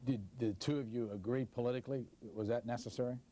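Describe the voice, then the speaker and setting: A man's voice: medium pitch, steady tone, fast talker, dark tone, slight background noise. A man interviewing a guest, asking short, direct questions.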